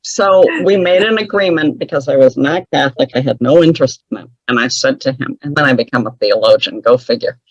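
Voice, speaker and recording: A woman's voice: very deep voice, a little fast, few pauses, husky, dry recording, lo-fi sound. A woman telling a personal story as an interview guest, chatty and wry, laughing often at her own remarks. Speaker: guest author.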